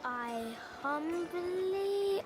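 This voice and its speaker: A woman voicing a reluctant, frightened young child in a dark fantasy game, halting and pleading. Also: very high voice, lively intonation, exaggerated voice. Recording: loud music over a distant voice. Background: loud music.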